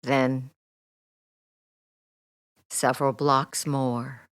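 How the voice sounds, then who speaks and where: Very slow, low-voiced woman guiding meditation. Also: little pitch movement, smooth, no room sound, studio quality. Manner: soothing, gentle, deliberate with long pauses. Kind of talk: guided meditation, relaxation script.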